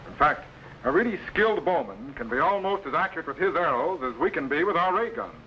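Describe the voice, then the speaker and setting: Male space-time explorer: calm authority, crisp measured orders, bright tone, faint hiss of an old serial. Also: on the high side, poor audio. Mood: calm, instructive. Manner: instructive, precise, measured commands. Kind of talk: 1950s science fiction serial acting.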